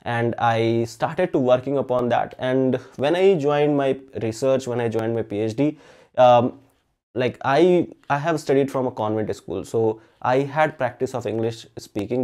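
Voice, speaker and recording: A man's voice: mid-range voice, moderate pace, darkish tone. A young man whose first language is Hindi, talking earnestly to camera as a chemistry channel host and often checking in with "okay?". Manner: earnest, frequent "okay?" tags and fillers. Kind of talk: casual talk to camera. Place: indoor room with balloons. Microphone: facing camera at mid framing.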